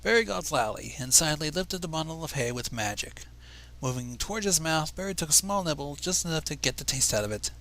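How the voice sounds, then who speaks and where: A man with a slightly high, bright voice reads a story aloud in an animated way. He stumbles over words, rereads them and drops in casual asides, with some creak in the voice. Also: fairly quick, poor audio. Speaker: fan fiction reader.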